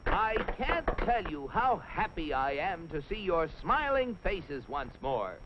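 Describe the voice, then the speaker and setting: Excitable high-voiced cartoon Martian explorer. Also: male voice, takes it slowly, background noise. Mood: proud, fawning. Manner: earnest, grandiose, speech-making with flustered hesitations. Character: eager, boastful, obsequious Martian space explorer. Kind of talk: 1950s animated cartoon narration in character. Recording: muffled 1950s film soundtrack.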